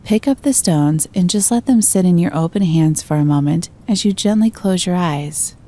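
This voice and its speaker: A woman gently guiding a meditation, giving slow, soothing instructions. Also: on the low side, expressive. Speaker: meditation guide. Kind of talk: guided meditation.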